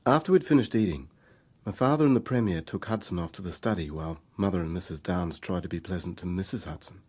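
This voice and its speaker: A man's voice: sits low, quick pace, darkish tone, slightly rough. An actor playing a troubled young man making a hesitant, candid confession in a radio drama. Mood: candid, wry.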